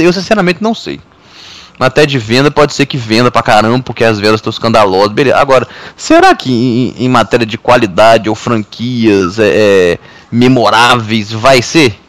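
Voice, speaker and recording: Man, mid pitch, Brazilian Portuguese accent, rambling, podcast host. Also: fairly bright tone, dry recording. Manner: rambling, hesitant restarts. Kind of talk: casual gaming podcast chat.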